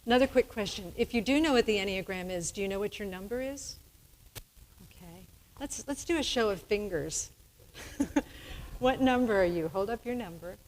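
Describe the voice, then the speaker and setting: A woman's voice: medium pitch, moderate pace, pauses often. Chatty woman minister in a reverberant sanctuary. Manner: chatty, laughing easily. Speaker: minister hosting a church service. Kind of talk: casual on-stage interview. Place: church sanctuary with balcony. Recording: hall reverb from a large sanctuary.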